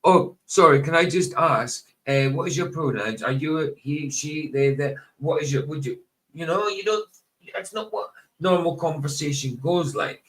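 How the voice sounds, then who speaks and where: A man's voice: fairly high pitch, moderate pace, rough recording. A man hosting a casual podcast, reacting with frequent laughter. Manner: laughs often, animated reactions. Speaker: podcast host.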